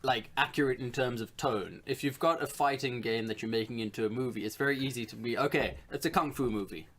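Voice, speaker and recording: A man's voice: medium pitch, quick pace, fairly bright tone. A man co-hosting a podcast, chatting casually about films and games in a mid-range voice. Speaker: podcast co-host.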